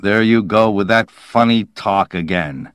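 Irritable male writer, played by a male narrator: scornful, dismissive, forceful emphasis, audiobook storytelling. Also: on the low side, unhurried, clear, resonant tone, no room sound, studio quality. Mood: gruff. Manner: emphatic, disgusted ranting.

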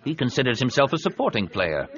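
Man voicing a raised-pitch sceptical alien senator. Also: wildly swooping pitch, quick pace, fairly bright tone, dull audio. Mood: doubtful. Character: doubtful, inquisitive male tentacle-faced alien senator.

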